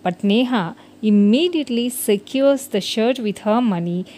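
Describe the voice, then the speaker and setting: A woman's voice: fairly high pitch, measured pace, mellow. A woman reading a scripted moral story for children as its narrator.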